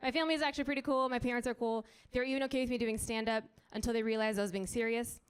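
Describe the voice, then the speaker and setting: A young woman from Colorado doing a stand-up set on a club stage, wry and punchy, with quick asides to the crowd. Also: fairly high pitch, steady tone. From United States (Colorado). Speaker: stand-up comedian.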